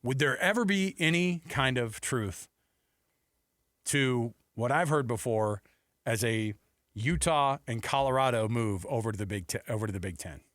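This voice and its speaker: A man's voice: mid-range voice, normal speed, fairly bright tone, no room sound. An American man in a casual sports debate as a talk show host. He speaks conversationally, musing aloud as he works through his points. The sound is very dry, with almost no room in it.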